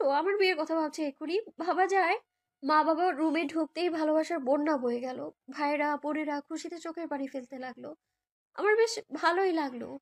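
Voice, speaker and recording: A young woman narrates a romantic story in the first person, in an emotive, girlish way, as if living it. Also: high-pitched. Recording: background music under voice.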